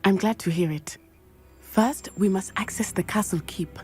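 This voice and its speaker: A woman's voice: medium pitch, darkish tone, natural voice. An earnest, courageous schoolgirl in a video game acted by a woman. She speaks with careful, formal phrasing, like someone who takes danger seriously. A little music sits underneath. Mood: serious, concerned. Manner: measured, formal, careful wording. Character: earnest, brave teenage schoolgirl, cautious investigator. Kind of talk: video game cutscene acting.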